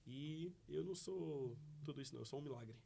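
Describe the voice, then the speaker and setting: Man, 20s, preacher guest, rapid earnest delivery, faint noise. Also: a little high, creaky, warm tone. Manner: grateful, earnest, fast-talking. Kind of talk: personal testimony in conversation.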